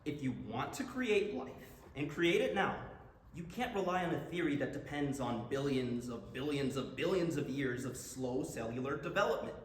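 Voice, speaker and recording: A man's voice: mid-range voice, animated, moderate pace, natural voice. A young man playing an earnest, argumentative college student game master in a staged play reading. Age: young adult. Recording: echoey hall, long reverb, background noise.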